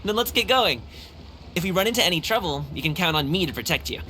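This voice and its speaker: Bright, animated, cheerful young guard. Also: male voice, high voice, fast talker, a little hiss or hum, rough recording. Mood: reassuring. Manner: chatty, upbeat, reassuring. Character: cheerful, friendly young male guard, eager local guide. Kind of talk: animated video game voice acting.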